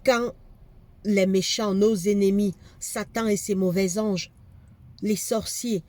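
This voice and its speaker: A woman's voice: mid-range voice, fairly even intonation, slow pace, darkish tone. A woman with a cold preaching a fervent sermon, repeating phrases for emphasis. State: has a cold, congested.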